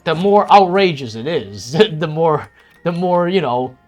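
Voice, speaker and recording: Rambling, swearing man with lively pitch. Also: faint music behind. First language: Cantonese. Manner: rambling, halting, many restarts and swearing. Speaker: streamer. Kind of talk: casual chat while streaming a strategy game.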